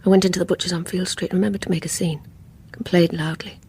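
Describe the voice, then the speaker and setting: A woman's voice: lowish voice, little pitch movement, fast, darkish tone, slight background noise, natural voice. An English actress playing a guilt-ridden, obsessive woman in a radio drama, intense and confessional in dialogue and intimate narration. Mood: cold resolve. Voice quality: breathy, whispery.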